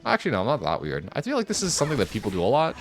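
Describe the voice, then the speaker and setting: A man's voice: medium pitch, huge pitch swings, very fast. A man doing casual live game commentary, rambling and muttering to himself as he plays. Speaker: game streamer. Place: home office. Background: game music.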